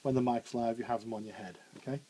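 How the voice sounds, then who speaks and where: A man with a bassy voice, chatting informally through a radio studio training session with frequent fillers and restarts. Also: fairly even intonation, quick pace, warm tone, breathy edge. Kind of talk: hands-on technical demonstration.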